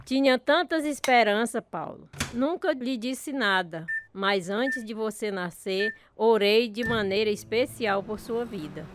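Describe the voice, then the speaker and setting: A devout, earnest country mother, acted by a woman in a radio drama. Her voice is somewhat high and polite, with a little ambient noise behind it. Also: a little slow, few pauses, natural voice. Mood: tender, wistful.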